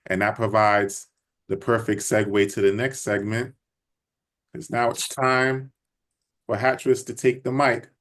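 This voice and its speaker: A man's voice: fairly low pitch, level tone, unhurried, fairly bright tone. A man hosting an interview, casually agreeing with the guest and introducing the next segment. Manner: affirming, frequent "right, right" backchannel. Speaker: podcast host.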